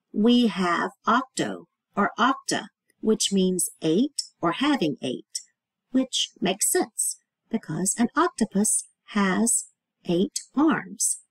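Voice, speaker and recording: A woman's voice: medium pitch, breathy edge, dry recording, poor audio. A woman reading aloud and explaining to young children as a teacher, stressing key words and putting questions to the listener.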